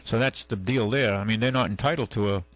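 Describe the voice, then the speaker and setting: A man's voice: fairly low pitch, fast talker, fairly bright tone, no room sound. A man hosting a call-in radio show, talking bluntly and folksily with callers about cars and credit.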